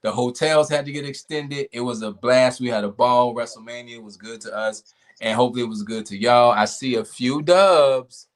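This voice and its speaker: Easygoing male podcast host, a bit hoarse. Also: mid-range voice, moderate pace. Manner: laid-back, relaxed sign-off talk. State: losing his voice. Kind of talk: casual podcast chat.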